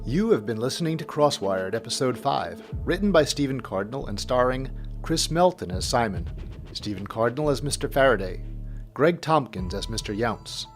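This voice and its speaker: A male announcer with a medium-pitched voice delivers scripted credits for an audio drama. Faint music plays behind him. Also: lively intonation, moderate pace.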